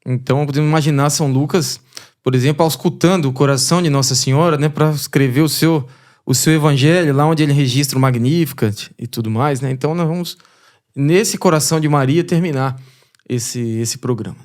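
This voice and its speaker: A man with a Brazilian Portuguese accent, a priest speaking calmly and measuredly in a podcast chat and prayer. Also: a little high. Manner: calm, measured, brief replies.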